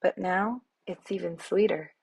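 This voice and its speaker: A woman's voice: on the low side, a little slow, gravelly, darkish tone, breathy edge, no echo. A woman reading a children's picture book aloud expressively, as a storyteller. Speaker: read-aloud host, storyteller.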